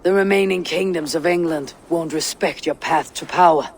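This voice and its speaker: An actor playing a steady, loyal young Viking warrior in a game cutscene, terse, sober and measured. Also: male voice, on the high side, vocal fry, slight background noise. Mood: calm, guarded. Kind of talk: video game cutscene acting.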